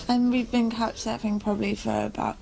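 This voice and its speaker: A woman's voice: on the high side, level tone, brisk, creaky, dark tone. A woman, chatting informally. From Derbyshire, England. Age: 20s. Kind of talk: casual interview.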